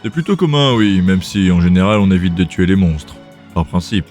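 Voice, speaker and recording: A man voicing a gruff, pragmatic male companion who explains things bluntly in an audiobook. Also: lively intonation, normal speed, a little mellow, faint music behind. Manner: blunt, low and matter-of-fact.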